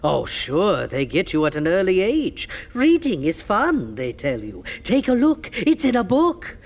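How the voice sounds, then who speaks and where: A man's voice: sits high, huge pitch swings, unhurried, full character voice. A man voicing a sly, ghoulish horror host who teases his listeners in a mock-sinister way. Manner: mock-sinister, teasing, chuckling.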